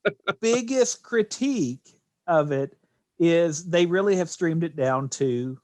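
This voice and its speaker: A man's voice: fairly high pitch, animated, slow pace, darkish tone, dry recording. An American man hosting a podcast in casual chat, rambling and often doubling back on his phrases. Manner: rambling, repeats and restarts phrases. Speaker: podcast host.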